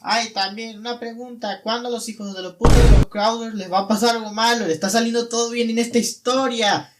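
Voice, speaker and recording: A man's voice: extremely high pitch, fairly even intonation, fairly quick, soft background music. A man speaking in a casual, ranting aside on a noisy recording. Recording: noisy recording with loud background noise.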